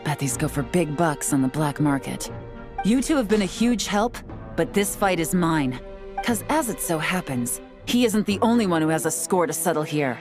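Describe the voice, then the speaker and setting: A low-voiced, cool secret agent. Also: female voice, lively intonation, moderate pace, over music. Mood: confident. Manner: poised, dry, cutting. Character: cool, confident female secret agent, scornful and wry. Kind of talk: video game cutscene acting.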